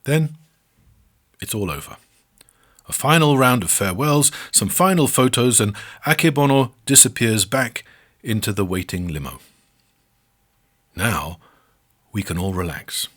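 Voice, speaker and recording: A man's voice: lowish voice, lively intonation, unhurried, long pauses, a bit bright. A man narrating a scripted first-person memoir, with a wry, mock-boastful, self-deprecating manner.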